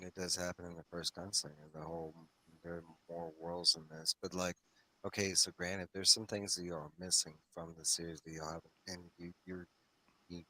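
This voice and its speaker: A man with a low but bright, pressing voice talks casually as a show host. He explains at length in an enthusiastic, wandering way, doubling back on his own phrases. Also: a little hiss or hum, rough recording. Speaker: host of a comics read-along discussion show. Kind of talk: casual discussion, rambling explanation.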